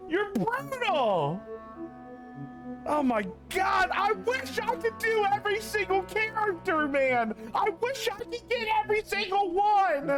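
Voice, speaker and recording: A male game streamer talks casually at a slow pace, with big swings in pitch. He is slangy and exclamatory and repeats phrases, over clearly audible game music. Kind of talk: casual live stream chat.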